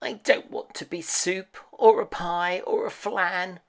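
A woman's voice: fairly low pitch, fairly even intonation, slow pace, pitched above the performer's own voice. A woman voicing a cheerful, kindly male pumpkin in a storybook, friendly and eager. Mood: worried, determined.

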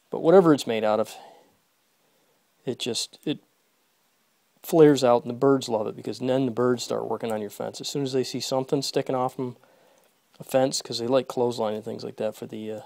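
A man with a gravelly, mid-pitched voice narrates offhandedly as he works on an electric fence inside a horse barn. He mutters to himself and his horses between steps of the job. The sound comes from a phone camera held close in the stall. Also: darkish tone, no room sound. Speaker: video creator demonstrating fence work. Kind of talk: casual running commentary while working. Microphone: phone camera held close, first-person view.

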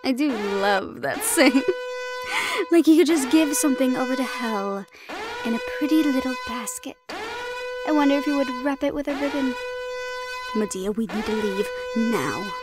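A woman acts out a proud fae villainess for an audio drama. Her voice is fairly high and sharp-tongued, dramatic with exasperation, over faint music. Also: animated, normal speed. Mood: urgent. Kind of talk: audio roleplay drama acting.

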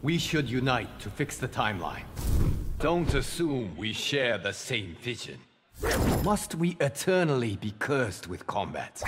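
An actor playing a righteous, defiant hero in a fighting game, earnest and forceful in his challenges. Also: mid-range voice, very animated, unhurried, very noisy. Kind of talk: video game character acting.

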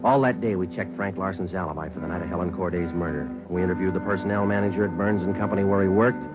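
An actor playing a terse, matter-of-fact police detective who also narrates, in 1940s radio acting style. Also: male voice, low voice, steady tone, fast talker, close to an everyday voice. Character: terse male police detective, clipped and businesslike. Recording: muffled old radio broadcast with music.

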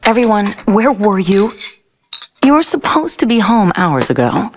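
A woman's voice: medium pitch, big pitch swings, measured pace, slight background noise. A woman voicing a middle-aged mother in a video game cutscene, sweetly welcoming with a menacing undertone. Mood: scolding, polite. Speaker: game character. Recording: music behind the voice.

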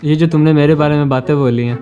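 Pakistani man chatting over loud music. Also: a little high, steady tone, fast talker. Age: 20s. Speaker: gaming YouTuber and prank caller. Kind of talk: casual chat and prank calling. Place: indoor gaming room.

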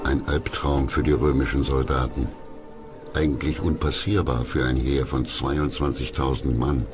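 A man reading scripted history documentary narration in a measured, grave manner. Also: extremely low pitch, steady tone. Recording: loud music under narration.

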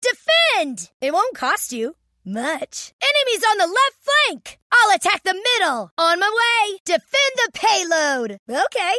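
A woman's voice: very high voice, big pitch swings, a little slow, pushed and bright, no room sound, clean studio sound, exaggerated voice. A woman playing a playful, mischievous young Halloween witch in a video game, with a theatrical, teasing, bouncy delivery. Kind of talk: video game voice lines, short callouts.

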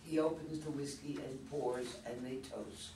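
A man's voice: medium pitch, fairly even intonation, unhurried. A man reading and speaking plainly at a staged script reading. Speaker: reader of stage directions. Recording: roomy, distant mic, faint noise.

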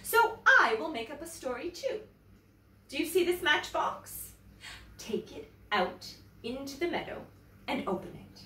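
A woman's voice: high voice, very animated, measured pace, sharp tone, reverberant, recorded from a distance, slight background noise, voice pushed up, full character voice. A woman voicing a dismissive, exasperated mother from a storybook, emphatic and chiding.

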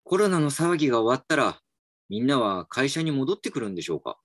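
A man's voice: medium pitch, very animated, fast talker, no room sound, clean studio sound. An actor playing a reflective, slightly dejected male employee in a voice drama, polite and musing. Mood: wry, polite. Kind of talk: voice drama acting with inner narration. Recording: music behind the voice.

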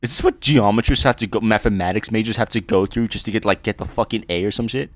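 A man's voice: fairly low pitch, fast talker, dry recording. A young man hosting a reaction video, swearing casually, laughing often and losing his voice. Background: loud music from the video.

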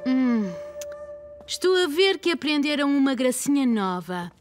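A woman playing a patient, gently firm young mother with a European Portuguese accent in a children's cartoon dub. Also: high voice, sing-song, a little slow, faint music behind. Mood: friendly.

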